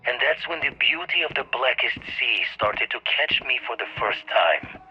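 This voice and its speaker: A man's voice: medium pitch, lively intonation, moderate pace, lo-fi sound, lightly acted. An actor playing an older retired space pirate in a video game, telling his past in colourful, wistful old-salt phrases. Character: grizzled retired space pirate, reflective, old, turned explorer. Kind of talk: video game character monologue.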